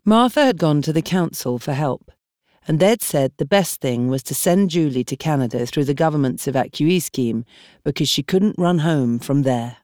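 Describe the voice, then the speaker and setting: A woman reading a novel as an audiobook narrator, in measured, expressive storytelling. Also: deep voice, dry recording, clean studio sound. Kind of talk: scripted literary narration. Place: dry studio.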